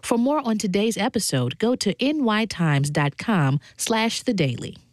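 A woman's voice: mid-range voice, big pitch swings, a little slow, no room sound. A woman reading scripted sponsor messages as an announcer. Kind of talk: scripted ad read.

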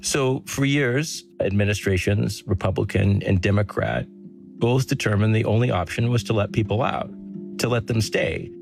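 A man working as a reporter, explaining a story in a measured, conversational news podcast style. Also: fairly low pitch, lively intonation, creaky, over music. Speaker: reporter telling an investigated story to a host.

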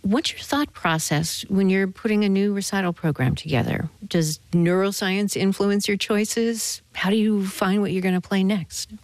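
A woman's voice: mid-range voice, steady tone, normal speed, hardly any pauses, darkish tone, no room sound. A woman radio host presenting and interviewing a musician in a scripted, on-air style.

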